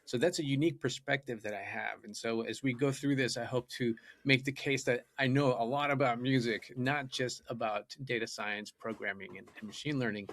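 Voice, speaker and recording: A man's voice: medium pitch, fairly even intonation, fairly quick, few pauses, fairly bright tone. A man whose first language is Japanese, a podcast guest telling his story in an earnest, eager way. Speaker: guest, data scientist and musician. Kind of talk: podcast interview.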